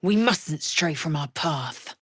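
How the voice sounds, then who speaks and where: An actress playing a fierce, proud young female alien warrior in a video game, with a husky, raspy voice and a clipped, haughty, formal manner. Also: low-pitched, animated, slow pace, no echo, studio quality, heavily acted. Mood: disdainful. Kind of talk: video game character voice acting.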